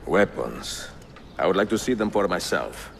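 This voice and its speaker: An actor playing a seasoned, calm, determined Italian master assassin, with an Italian accent and a composed, deliberate manner, in a dramatic game. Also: male voice, low-pitched, vocal fry, slight background noise. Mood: grave, determined. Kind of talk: dramatic game cutscene acting.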